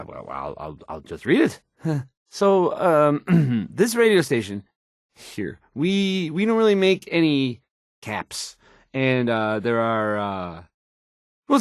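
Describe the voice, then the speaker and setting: Painfully nervous, stammering, high-voiced radio DJ. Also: male voice, wildly swooping pitch, slow pace, dry recording, studio quality, full character voice. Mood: awkward, hesitant. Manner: hesitant, stammering, apologetic, fumbling for words. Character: painfully shy, nervous young male radio DJ. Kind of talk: video game radio broadcast acting.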